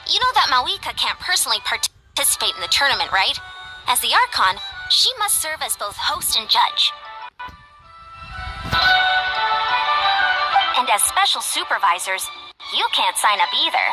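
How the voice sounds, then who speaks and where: High-voiced hearty athletic coach. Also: female voice, sing-song, brisk, over loud music, low-quality recording. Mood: inviting. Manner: breezy, hearty, self-assured. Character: upbeat, confident, athletic female warrior coach. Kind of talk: anime game character dialogue.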